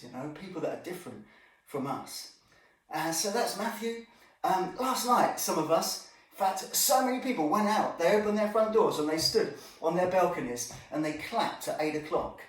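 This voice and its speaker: A man's voice: on the high side, normal speed. Echoey, distant man telling children Bible stories. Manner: animated, with exclamations and asides to the young audience. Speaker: children's Bible storyteller and church teacher. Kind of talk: informal storytelling lesson for children. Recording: echoey room, distant microphone.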